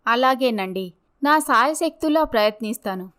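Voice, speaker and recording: A woman's voice: on the high side, animated, moderate pace, pushed and bright, smooth. A woman playing a dignified, earnest young mother abandoned by her husband, polite in manner, in a dramatised story. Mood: grateful, resolved.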